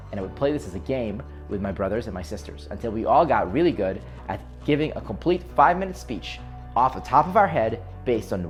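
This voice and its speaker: A young man talking as a video host, giving self-improvement advice in an emphatic, instructional explainer style. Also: medium pitch. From Israel. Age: young adult. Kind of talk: direct-to-camera explainer.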